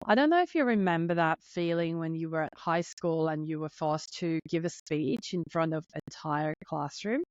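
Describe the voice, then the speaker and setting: Finnish-accented woman in her thirties, lowish voice, sincere and a little nervous solo podcast host, dry muffled recording. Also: normal speed, hardly any pauses, dry recording. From Finland. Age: 30s. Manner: earnest, openly nervous, heartfelt.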